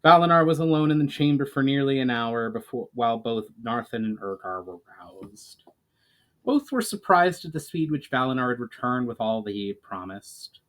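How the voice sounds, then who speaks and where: A man's voice: medium pitch, lively intonation, moderate pace, fairly bright tone, rough recording. A man giving a dramatic storytime reading as an author and narrator. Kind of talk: dramatic audiobook-style reading.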